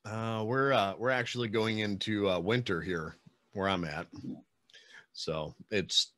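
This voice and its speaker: A man's voice: lowish voice, a little slow, bright, forceful tone. A man in his forties chats as a podcast host. He is informal and pokes fun at himself, with loose, salty language. He sits close to a studio mic in a small home room. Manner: informal, self-deprecating, sprinkled with swearing. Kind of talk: casual interview chat. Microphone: studio microphone with pop filter, close.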